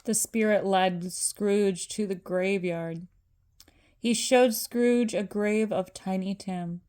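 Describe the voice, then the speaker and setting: An older woman narrates a children's storybook like a grandmother reading aloud. Her pace is slow and deliberate, and her voice sits at an average pitch for a woman. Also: fairly even intonation, a little mellow, clear, resonant tone.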